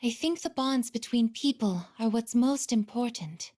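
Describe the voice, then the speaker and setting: A woman voicing a gentle, earnest young female android in a video game, with measured, formal, softly hesitant phrasing. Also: fairly high pitch. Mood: earnest questioning. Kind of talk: English video game voice acting.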